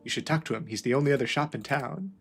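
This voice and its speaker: A man's voice: mid-range voice, fast, breathy edge, soft background music. A man voicing a friendly male Chilean wine shopkeeper, chatty and polite.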